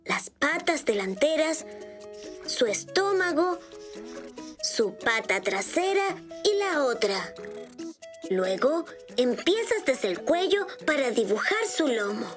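High-pitched cheerful rabbit boy. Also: big pitch swings, slow, long pauses, exaggerated voice. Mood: helpful, instructive. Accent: Latin American Spanish. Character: cheerful, helpful young rabbit boy who draws. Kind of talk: children's cartoon dub, step-by-step drawing instruction. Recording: music behind the voice.

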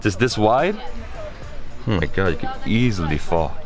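A man in his thirties vlogging casually with a camera at arm's length, excitable and chatty, outdoors and inside a stone church. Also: low voice, normal speed, long reverb tail, faint music behind. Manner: excitable, exclaims often, chatty. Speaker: travel vlogger. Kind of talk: casual travel vlog narration. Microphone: camera held at arm's length.

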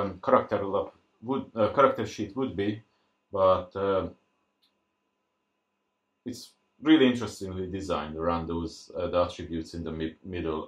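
A man giving casual, unscripted unboxing commentary, thinking aloud in a halting way as he presents. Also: deep voice. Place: indoors at a tabletop. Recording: roomy, echoey sound.

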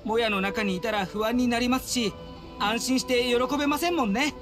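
A man's voice: extremely high pitch, normal speed. A man voicing an earnest, polite young servant of a noble house in a Japanese anime game, with formal, courteous speech. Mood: gentle, hesitant. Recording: studio voice over game music. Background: game music.

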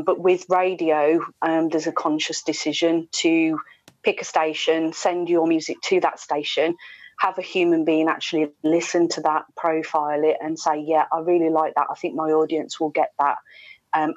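A woman's voice: deep voice, flat delivery, moderate pace, no echo. A middle-aged woman, a radio presenter, chatting casually as a guest on a video call. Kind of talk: casual interview chat. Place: indoors at home. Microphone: earphones on a video call. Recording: slightly dull video-call audio.

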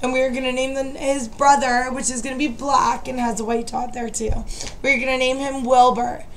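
A high-voiced young woman talks to her webcam from a dim bedroom at night, her voice worn as she loses it. She rambles chattily and keeps apologizing. A faint hiss sits under the recording. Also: flat delivery, normal speed, poor audio. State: losing her voice. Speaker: vlogger, makeup seller. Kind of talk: casual chat to camera.

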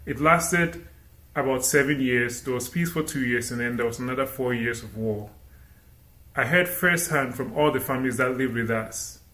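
Ghanaian man preaching in a roomy echo. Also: mid-range voice. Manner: animated, asks rhetorical questions. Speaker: guest speaker. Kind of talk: inspirational sermon. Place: indoor room against a plain wall.

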